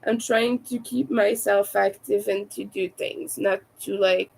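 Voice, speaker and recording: A woman with a raspy, soft-spoken voice, sick and low on energy, chatting casually and hesitantly on a livestream. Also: steady tone, unhurried. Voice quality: raspy from vocal cord damage. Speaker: livestream host, crafter.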